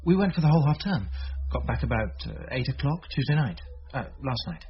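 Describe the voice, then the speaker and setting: An actor playing a defensive but respectful teenage schoolboy in a radio drama, speaking hesitantly and earnestly. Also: male voice, mid-range voice, animated, brisk, close to an everyday voice. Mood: wary. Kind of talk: naturalistic radio drama dialogue. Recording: dull radio recording, faint noise.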